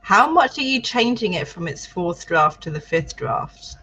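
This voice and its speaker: A woman hosting a writing livestream, chatting in a lively, self-deprecating way with her co-host. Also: fairly low pitch, unhurried, a little hiss or hum, low-quality recording. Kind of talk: casual chat on a writing livestream.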